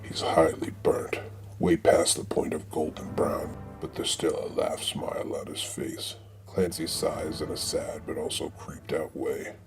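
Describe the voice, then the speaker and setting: A man with a gravelly voice narrating a comedy script in a deadpan, slangy, mock-dramatic way. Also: unhurried. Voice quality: very deep, gravelly. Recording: music behind the voice.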